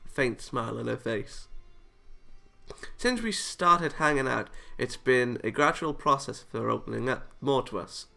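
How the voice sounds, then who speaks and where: A man's voice: medium pitch, vocal fry, bright, forceful tone. A man reading a visual novel aloud for a let's play, playful and exclaiming, breaking into joking asides. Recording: music behind the voice. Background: visual novel game music.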